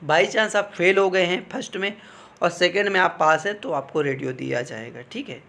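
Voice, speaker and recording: Higher-pitched man explaining informally. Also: moderate pace, vocal fry, pushed and bright. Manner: instructive, repeatedly checking in with listeners. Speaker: exam coaching teacher advising candidates. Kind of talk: informal explanatory talk on exam cut-offs.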